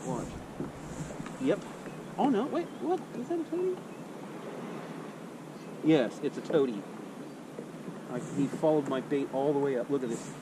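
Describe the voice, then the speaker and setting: A man chatting casually and muttering quietly while fishing from a kayak, recorded on an action camera out on the water. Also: a little high, very animated, a little slow, pauses often. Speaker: fishing vlogger. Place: kayak on open water, outdoors. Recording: wind and water noise outdoors.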